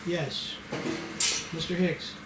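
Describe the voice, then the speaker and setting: A man's voice: a little high, steady tone, slow pace. A man leading a table read, informally organizing the group and explaining things. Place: indoor room with a group. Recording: noisy, echoey room.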